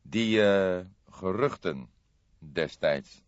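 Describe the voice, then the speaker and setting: A man voicing a calm, unruffled veteran police detective in an audiobook, asking measured questions. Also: sits low, dry recording, exaggerated voice. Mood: serious. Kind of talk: audiobook character acting. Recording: slightly dull, dry studio recording.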